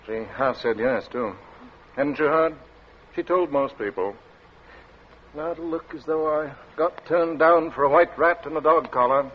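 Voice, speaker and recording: An actor playing a bluff, drily joking captain in a radio drama, breezy and clipped, talking in short dropped-pronoun phrases. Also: male voice, on the high side, measured pace. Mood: wry, rueful. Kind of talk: 1940s radio drama acting. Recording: muffled, noisy old radio recording.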